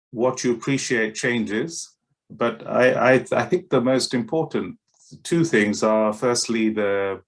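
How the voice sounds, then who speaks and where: A middle-aged man, a paediatric doctor, answering interview questions in a hesitant way, full of fillers and restarts. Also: lowish voice. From Kenya and West London.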